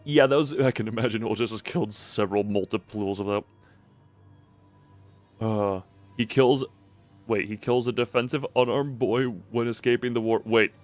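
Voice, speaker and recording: A man's voice: mid-range voice, moderate pace. A man doing casual live commentary as a game streamer, exclamatory and rambling. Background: faint music.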